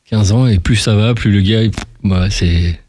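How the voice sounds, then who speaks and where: A man's voice: fairly low pitch, unhurried, mellow. A man hosting a radio interview in a casual, teasing, informal way, bantering with his guest.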